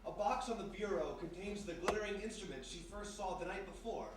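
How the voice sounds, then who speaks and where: A man acting as the measured, dramatic narrator of a live old-time radio mystery. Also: sits high, natural voice. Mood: melancholy, hushed. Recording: very echoey live hall, distant mic, faint noise.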